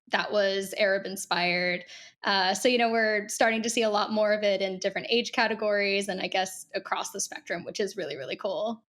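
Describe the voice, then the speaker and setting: A woman's voice: mid-range voice, a bit bright. Chatty young woman guest author. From Kuwait. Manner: chatty, frequent fillers, laughs easily. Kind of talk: casual interview chat. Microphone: video call.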